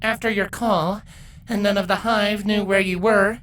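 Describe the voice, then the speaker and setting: A woman voicing a firm, caring adult pony mentor in a measured, lecturing manner for a story. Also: mid-range voice, fairly even intonation, fairly bright tone, a little hiss or hum, pitched above the performer's own voice, lightly acted.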